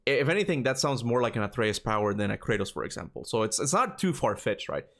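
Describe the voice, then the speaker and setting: A man's voice: medium pitch, sing-song, fast, fairly bright tone. Casual male gaming streamer thinking aloud. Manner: thinks aloud, repeats himself, laughs easily. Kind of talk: casual livestream commentary.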